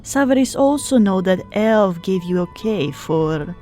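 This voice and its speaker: A woman's voice: medium pitch, expressive, a little slow, mellow, natural voice. A woman voicing a flirtatious, short-tempered harpy queen for an audiobook, purring in broken English. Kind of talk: fan audiobook character acting. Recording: background music under the voice.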